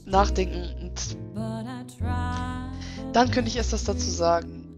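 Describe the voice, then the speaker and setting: A woman hosting a let's play, hoarse, chatting reflectively about the game she just finished. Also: medium pitch, a little slow, highs cut off. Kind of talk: casual gameplay commentary. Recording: game music playing behind.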